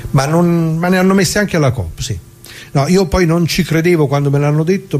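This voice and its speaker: Male actor as elderly labourer, soft dark timbre, colloquial and halting, dramatic monologue over noticeable background noise. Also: a little high. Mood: indignant. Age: older. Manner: colloquial, halting, directly addressing listeners. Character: elderly working-class man from Brescia, plain-spoken bombing survivor.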